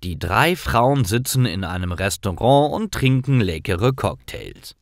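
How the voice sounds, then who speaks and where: A man reads short stories for language learners in a lowish voice with lively intonation. He takes his time over simple sentences. The recording is dry and close. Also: unhurried, vocal fry, no echo. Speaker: narrator of German learning stories. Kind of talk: scripted storytelling for language learners.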